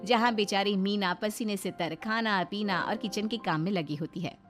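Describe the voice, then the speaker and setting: A woman narrating a moral story in an expressive, scripted storytelling style. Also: mid-range voice, moderate pace, soft background music.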